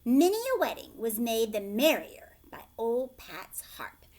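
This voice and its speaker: A woman's voice: a little high, very animated, unhurried, darkish tone. A woman teacher reading a storybook aloud expressively and explaining it to young listeners as she goes.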